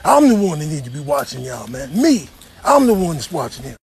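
A man's voice: fairly high pitch, big pitch swings, a little fast, darkish tone. A man voicing a suspicious, grumbling gorilla father, opinionated and slangy, in a comic animal voiceover. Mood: distrustful.

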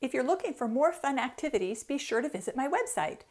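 A middle-aged American woman, a children's author, reading aloud expressively and deliberately for a story time, talking directly to young listeners. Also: sits high, big pitch swings, darkish tone. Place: small room at home, indoors.

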